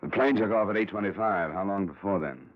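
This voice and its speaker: Skeptical hard-boiled detective narrator, low pitch, terse, world-weary, vintage radio drama, dulled sound. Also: male voice, fairly quick. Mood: probing. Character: tough, skeptical insurance investigator narrating his case. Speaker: lead actor and narrator. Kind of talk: 1950s hard-boiled radio crime acting. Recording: muffled old radio recording with music.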